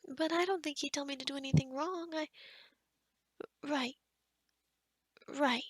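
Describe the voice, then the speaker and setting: A woman playing a kind, sheltered young forest witch in a soft-spoken, half-whispered ASMR roleplay, gentle and hesitant with small stammers. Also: high voice, unhurried, dry recording. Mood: nervous, hesitant. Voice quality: breathy, whispery.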